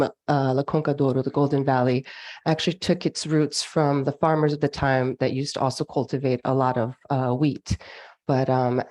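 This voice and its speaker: A woman's voice: a bass voice, a little mellow. A woman from California with a Californian accent, giving a slide lecture on a video call for a winery, chatty with frequent ums and uhs. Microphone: video call microphone.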